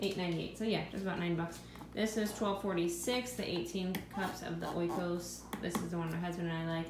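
Woman vlogger, thirties, lowish voice, chatty and offhand, recorded by a handheld camera in an echoey kitchen with steady noise. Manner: chatty, thinking aloud about prices. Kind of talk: casual grocery haul narration. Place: home kitchen.

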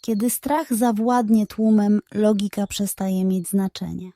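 A woman narrating a scripted bedtime story for children in an expressive, suspenseful way. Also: medium pitch, a little slow, no echo.

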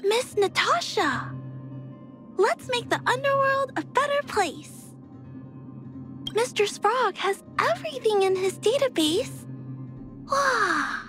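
A woman voicing a shy, gentle, earnest little girl in a video game, sweet and hesitant. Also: very high-pitched, expressive, takes it slowly, pauses often, faint music behind, full character voice.

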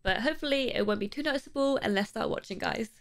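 Young woman, high voice, nasal with a cold, chatty, reacting at a desk mic. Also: fast talker, noisy background. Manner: chatty, laughs often. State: stuffy nose from a cold. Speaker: film reaction YouTuber. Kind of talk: casual reaction commentary. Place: home streaming room, indoors. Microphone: desk mic on boom arm, in-ear monitors. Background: film audio and score.

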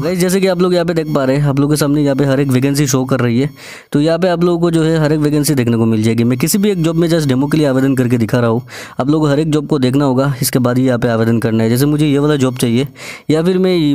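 Male Indian presenter for an instructional screen-recording voice-over; mid-pitched, mellow, quick, repetitive and directly addressing the viewer. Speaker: job vacancy channel presenter.

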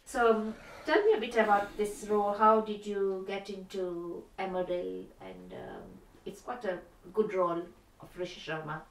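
A woman's voice: medium pitch, expressive, moderate pace. A woman interviewer asking questions from off camera, hesitant with fillers. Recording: roomy, distant off-mic voice.

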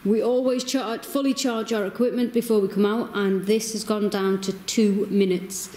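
A woman's voice: medium pitch, steady tone, normal speed, a little mellow. A woman in her thirties investigating the paranormal in a cave, calling out to spirits and commenting to camera. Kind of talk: ghost-hunt calling out and running commentary. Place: inside a cave at night. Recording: roomy cave echo, clear background noise.

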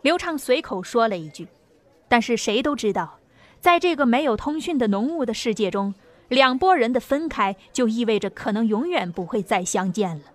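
A woman's voice: a little high, expressive, moderate pace. A woman narrating a novel as an audiobook, reading a dramatic script. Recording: music under the voice.

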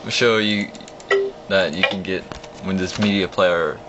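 A man's voice: sits low, steady tone, a little slow, over loud music. A young man in a casual, rambling walkthrough of an old computer, explaining as he clicks, recorded on a phone at a home desk. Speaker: vlogger showing an old computer. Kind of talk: casual off-the-cuff screen walkthrough. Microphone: phone camera held at the screen. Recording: dull lo-fi phone audio with hum.

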